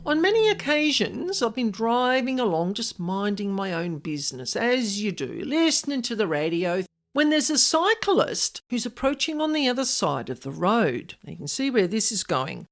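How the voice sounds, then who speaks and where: A woman's voice: on the high side, big pitch swings, normal speed, few pauses. A woman hosting a podcast, telling personal stories and giving advice in an informal, emphatic monologue, repeating key words for stress. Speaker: podcast host, paranormal specialist.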